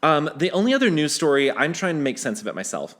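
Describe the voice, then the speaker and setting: A man's voice: fairly high pitch, sing-song, fast, fairly bright tone. A man hosting a podcast, sharing news in a casual, conversational way, teasing his co-host and restarting his sentences as he goes.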